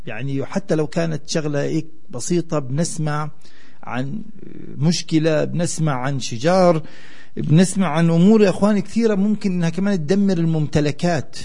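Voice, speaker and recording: A Palestinian man hosts a live radio call-in show. His voice sits a little high for a man. He talks conversationally to his listeners, in his local Arabic accent. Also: brisk, mellow.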